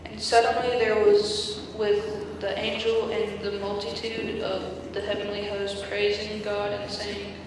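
A woman reading scripture aloud in a steady, measured way during a church service. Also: mid-range voice, slight background noise. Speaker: scripture reader. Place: large church sanctuary. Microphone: far camcorder mic. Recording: echoey amateur camcorder audio from far off.

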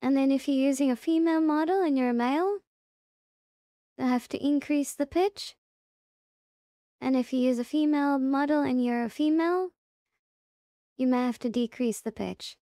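A woman presenting a casual software tutorial step by step, unhurried and thinking aloud as she goes. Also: high voice, no room sound, clean studio sound.

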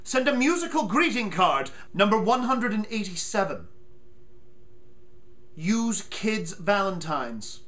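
A man in his thirties giving a mock-solemn dramatic reading from a book, theatrical and deliberate, with emphatic pauses. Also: high-pitched, big pitch swings, bright, forceful tone. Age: 30s. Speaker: comic performer reading aloud. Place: small furnished room at home, indoors.

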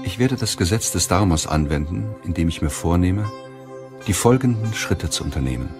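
A man narrating an audiobook in a measured, solemn way, reading from a script. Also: low-pitched, expressive, music in the background.

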